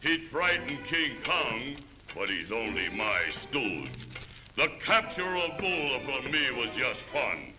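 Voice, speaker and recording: A man playing a huge, boastful, swaggering sailor villain, gruff and booming, in rhymed 1930s cartoon style. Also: sing-song, slow, poor audio. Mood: gloating. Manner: pompous, theatrical. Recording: old 1930s film soundtrack, loud music behind. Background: loud orchestral music.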